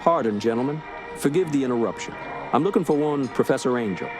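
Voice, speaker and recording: A man's voice: mid-range voice, expressive, quick pace, over music, close to an everyday voice. A man playing a polite, businesslike police inspector with formal, wordy speech in a period radio drama. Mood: earnest, troubled.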